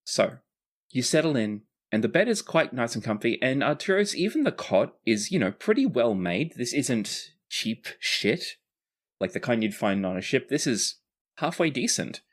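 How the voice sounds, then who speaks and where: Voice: medium pitch, very animated, normal speed. A game master narrating a tabletop roleplaying game in a casual way, with some swearing.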